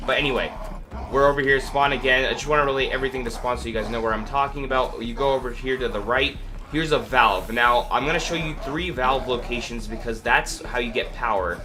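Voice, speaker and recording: A man's voice: mid-range voice, brisk. Sniffly sick man rambling through a walkthrough. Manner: rambling, hesitant, full of fillers. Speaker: gaming video host. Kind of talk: casual gameplay walkthrough. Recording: clear background noise.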